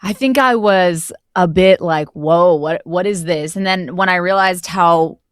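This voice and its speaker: A woman's voice: on the low side, a little slow, no echo, studio quality. A woman speaking casually and effusively as a guest in an interview. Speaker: guest, voice actor who narrated the project.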